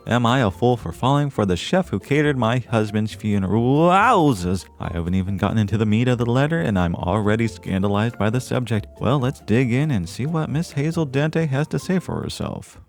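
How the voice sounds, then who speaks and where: An actor playing a chipper small-town podcast host, with a theatrical, flowery, folksy delivery in a scripted radio style. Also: male voice, mid-range voice, natural voice. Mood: scandalized, playful.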